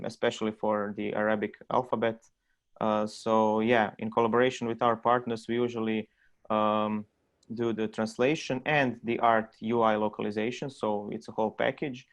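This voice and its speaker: A man speaking on a webinar panel over a video call, explaining things with many pauses and fillers. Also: on the low side, gravelly. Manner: halting, many ums and uhs. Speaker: game localization speaker.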